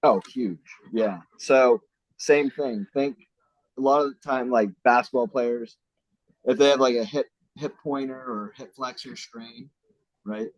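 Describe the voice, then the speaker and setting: A man's voice: mid-range voice, fairly even intonation, measured pace, no echo. A man working as a mobility trainer, giving loose, conversational answers full of "I mean" and "right?". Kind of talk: casual explanatory interview answers. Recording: dull lo-fi sound.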